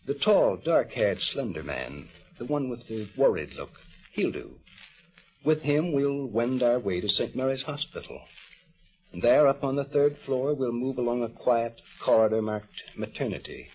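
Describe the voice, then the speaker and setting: A man with an American accent hosting and narrating a scripted mid-century radio program in a measured, literary storytelling manner. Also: mid-range voice. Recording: muffled old radio recording.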